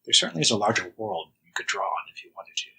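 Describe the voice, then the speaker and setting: A man hosting a casual podcast interview in a measured, understated, slightly hesitant way. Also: fairly low pitch, animated, gravelly, bright, forceful tone, slightly rough, low-quality recording. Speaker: podcast host.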